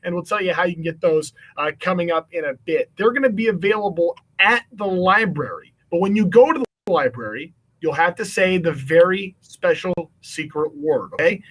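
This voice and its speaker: A man's voice: sits high, lively intonation, moderate pace, hardly any pauses, a bit bright, poor audio. A man with an American accent hosting a children's online event in an upbeat, chatty style. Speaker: event host.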